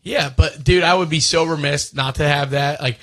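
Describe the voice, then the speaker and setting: A man's voice: on the high side, fairly even intonation, moderate pace, fairly bright tone. A man on a podcast panel in casual banter, talking insistently and swearing along the way.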